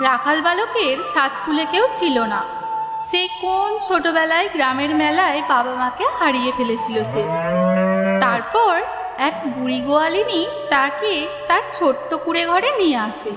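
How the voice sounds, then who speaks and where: A woman's voice: high voice, lively intonation, normal speed. A woman narrating a scripted children's story. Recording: echoey reverb, music underneath.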